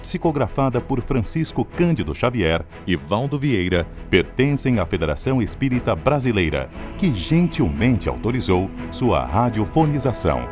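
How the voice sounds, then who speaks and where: A man's voice: on the low side, very animated, moderate pace, hardly any pauses. A man with a Brazilian accent reading scripted radio announcements in a formal, emphatic way. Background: prominent music.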